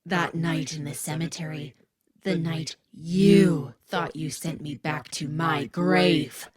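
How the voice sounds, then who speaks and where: A woman voicing a cold evil spirit that has taken over a teenage girl, speaking in a low, husky, raspy voice. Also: crowd noise. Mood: menacing. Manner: slow, cold and threatening.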